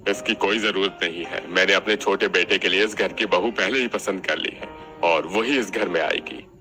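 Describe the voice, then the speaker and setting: A man's voice: deep voice, normal speed, sharp tone, faint music behind. A man voicing a decisive, sardonic older father-in-law who heads the household in a cartoon. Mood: stern.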